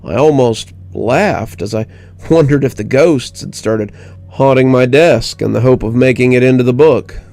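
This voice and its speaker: A man narrating a scripted first-person horror story in a measured storytelling manner. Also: mid-range voice, vocal fry, dark tone.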